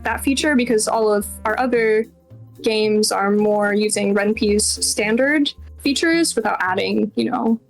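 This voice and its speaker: A woman's voice: mid-range voice, measured pace, vocal fry, faint music behind, low-quality recording. A woman being interviewed as a game developer, talking casually and informally in a chatty, self-deprecating way. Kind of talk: casual Q&A chat.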